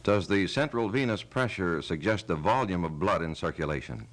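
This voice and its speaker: A man's voice: deep voice, expressive, moderate pace. A man acting as interviewer, putting formal, precisely worded medical questions as if from a script.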